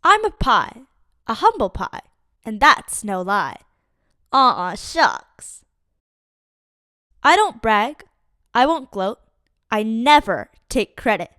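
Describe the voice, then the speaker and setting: A woman giving a lively, expressive read-aloud of a children's picture book. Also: fairly high pitch, takes it slowly, pauses often, no room sound, studio quality. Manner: lively, expressive reading for kids.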